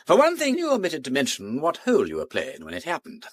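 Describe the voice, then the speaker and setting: A man playing a witty, urbane gentleman detective in a British radio drama, teasing and breezy, with an upper-class English accent. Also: fairly high pitch, wildly swooping pitch, fast talker, no echo. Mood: teasing, probing. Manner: teasing, breezy, with drawled asides.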